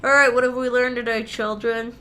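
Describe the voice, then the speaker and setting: A woman's voice: on the high side, flat delivery, fairly quick, sharp tone, slight background noise. A woman giving casual, rambling, sarcastic commentary as she streams a game and reads its text aloud. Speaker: streamer, host.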